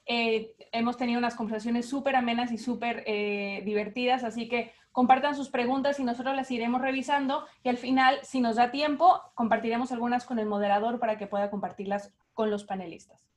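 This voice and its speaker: A woman's voice: fairly high pitch, steady tone, fast talker. A woman moderating an online event, friendly and with frequent fillers.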